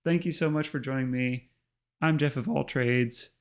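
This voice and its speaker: A man hosting a casual tutorial, chatty and full of ums, his voice slightly hoarse. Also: mid-range voice, normal speed. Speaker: host. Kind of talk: casual screen-recorded tutorial narration.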